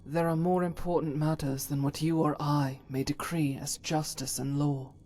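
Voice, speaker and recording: A woman voicing a solemn, zealous cleric in a fantasy video game, speaking in a formal, measured, pious way. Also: sits low, little pitch movement, warm tone. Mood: righteous, solemn. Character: solemn, zealous female holy cleric, unshakably devout. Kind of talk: video game character dialogue.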